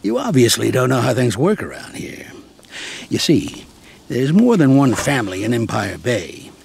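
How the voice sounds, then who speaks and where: An actor playing a seasoned mobster in prison who talks in a streetwise, lively way, in a video game cutscene. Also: male voice, mid-range voice, moderate pace, creaky, slight background noise. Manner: streetwise, lively, explains patiently. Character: older male mobster inmate, seasoned and reassuring mentor.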